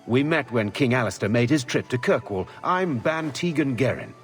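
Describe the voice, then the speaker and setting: A courteous nobleman from a fantasy game, voiced by a man. He is genial, with an animated middle-range voice over faint music. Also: mid-range voice, normal speed, close to an everyday voice. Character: courteous, genial nobleman, mildly flustered gentleman. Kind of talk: video game cutscene acting.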